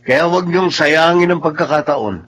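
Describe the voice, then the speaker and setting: An actor playing a cocky, free-spending young Filipino son in a brash, breezy Tagalog radio drama style. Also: male voice, on the high side, normal speed, fairly bright tone. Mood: generous, proud. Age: young adult.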